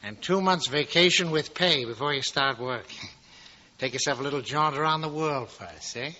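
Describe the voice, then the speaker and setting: A man's voice: mid-range voice, expressive, moderate pace, bright, forceful tone, muffled recording. An actor playing an irascible, patronizing rich old businessman in a 1940s radio drama. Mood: smugly coaxing. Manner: patronizing, blustering. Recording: noisy, band-limited 1940s radio recording.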